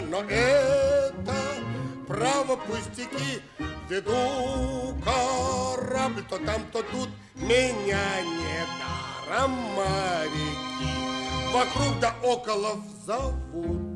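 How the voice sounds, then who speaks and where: An actor playing a bumbling, self-satisfied sea captain in a Soviet radio play, pompous and boastful. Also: male voice, high voice. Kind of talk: Soviet radio play acting with comic song.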